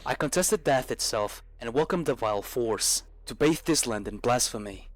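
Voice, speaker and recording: A man narrating the words of a vengeful drowned spirit in a slow, grave, ominous recitation for a horror story. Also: mid-range voice, lively intonation, dry recording, voice pushed down, close to an everyday voice. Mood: solemn menace.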